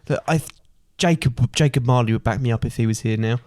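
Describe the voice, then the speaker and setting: A grumpy, petty miser of a boss, played by a man with a mellow, soft-edged voice. He grumbles curtly and deadpan in improvised comic panto style, recorded dry and close. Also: medium pitch, quick pace, dry recording, pitched below the performer's own voice, lightly acted.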